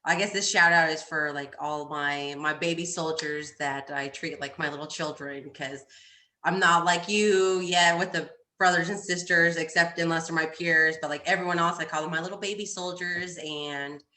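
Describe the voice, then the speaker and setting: A woman's voice: sits low, steady tone, normal speed, sharp tone, echoey room. A woman, an army staff sergeant and podcast guest, telling stories in casual, brash slang and acting out her retorts. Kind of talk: casual chat, storytelling.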